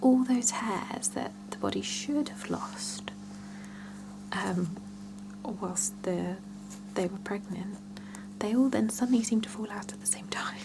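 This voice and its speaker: A woman whispering softly in an ASMR roleplay as a salon scalp therapist, chatting and explaining gently and without hurry. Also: medium pitch, slow, poor audio. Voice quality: soft breathy whisper with creaky edges.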